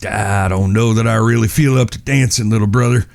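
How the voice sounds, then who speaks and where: Man playing a gravelly, stern cowboy older brother. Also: lowish voice, steady tone, brisk, darkish tone. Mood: stern, resolved. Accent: American. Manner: firm, wry, folksy drawl. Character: stern, watchful gunslinger older brother in charge. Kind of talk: western audio drama acting.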